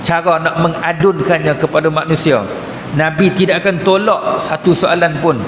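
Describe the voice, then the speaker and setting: A man's voice: on the high side, steady tone, normal speed. A man with a northern Malay Kedah accent giving a colloquial, explanatory mosque lecture as an Islamic teacher, often checking in with short questions. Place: mosque hall. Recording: echoey hall, reverberant, noticeable background noise.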